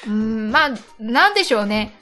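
A woman's voice: fairly high pitch, sing-song, moderate pace, pushed and bright, soft background music. A woman co-hosting a podcast in casual, chatty conversation, readily agreeing with her partner. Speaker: podcast co-host. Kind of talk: casual chat with a co-host.

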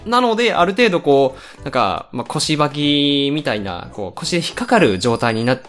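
A woman with a low voice and lively intonation co-hosts a casual radio chat. She speaks politely, in a self-deprecating, apologetic way, over light background music. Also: normal speed. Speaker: radio show co-host. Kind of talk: casual chat, reading listener letters.

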